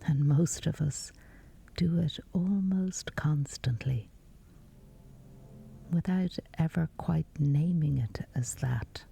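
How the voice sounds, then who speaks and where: A woman leads a guided sleep meditation in a very dark, mellow voice with a creaky edge. She speaks in a hushed whisper, extremely slowly, leaving long pauses between phrases. The delivery is scripted and unhurried. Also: deep voice.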